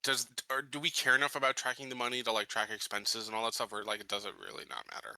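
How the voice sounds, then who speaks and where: A man's voice: medium pitch, flat delivery, fast, bright, forceful tone, no room sound, poor audio. A man talking casually as a tabletop game player, often restarting and correcting himself. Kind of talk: casual chat.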